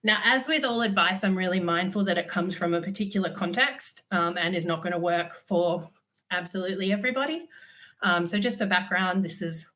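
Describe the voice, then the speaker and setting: A woman's voice: on the low side, brisk, pushed and bright. A woman, giving a conference talk while stuffy from a cold, candid with dry humour and frequent ums. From Australia. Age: 30s. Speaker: conference speaker.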